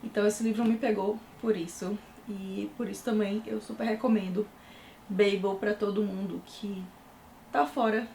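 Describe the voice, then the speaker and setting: A woman's voice: mid-range voice, steady tone, moderate pace, vocal fry, dark tone, slight background noise. A young adult woman from Recife with a Pernambuco accent, chatting casually in a book review vlog. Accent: Recife Pernambuco Portuguese. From Recife, Pernambuco, Brazil. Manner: chatty, full of fillers. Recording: echoey room.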